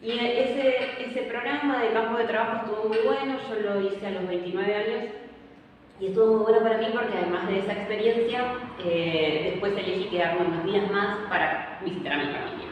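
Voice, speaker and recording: A woman's voice: medium pitch, a little fast, muffled recording. A woman, answering interview questions in a hesitant way, with many fillers and restarts. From Argentina. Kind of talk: casual interview talk. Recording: echoey room, far from mic, faint noise.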